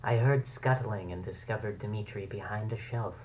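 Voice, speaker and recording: Horror tape diary: male actor as anxious scientist mutating into bat creature. Low, brooding, halting, clinical; noisy, humming recording. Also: muffled recording, natural voice. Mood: disturbed. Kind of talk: horror audio diary, analog horror acting.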